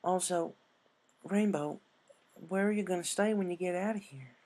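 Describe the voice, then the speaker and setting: A narrator as a high-pitched, folksy farm pony. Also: moderate pace, a little mellow, lightly acted. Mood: consoling. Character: caring, folksy young female farm pony.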